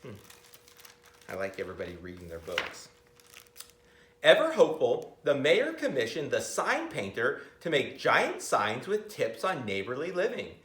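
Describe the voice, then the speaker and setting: A middle-aged man reading a picture book aloud expressively, pausing to comment and ask his listeners questions, recorded in a school library. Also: mid-range voice, huge pitch swings, fairly bright tone. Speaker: school reader of picture books to children. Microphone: camera a few metres away. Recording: roomy library, camera at a distance.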